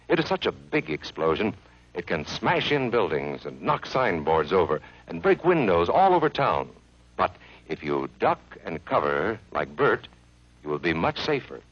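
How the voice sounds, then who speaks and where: A man's voice: on the low side, no room sound, highs cut off. A man narrating an educational film for children in an earnest, friendly, instructive way, from an old 1950s soundtrack.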